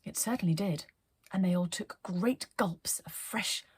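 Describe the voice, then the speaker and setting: A woman narrating a children's story as a storyteller, in an expressive read-aloud style. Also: fairly low pitch, unhurried, breathy edge, no room sound.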